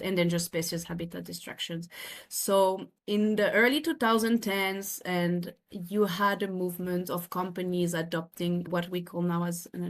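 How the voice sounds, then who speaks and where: A woman from France with a French accent, in her thirties, earnestly explaining her work as a podcast guest, often restarting her phrases. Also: fairly low pitch. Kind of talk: podcast interview.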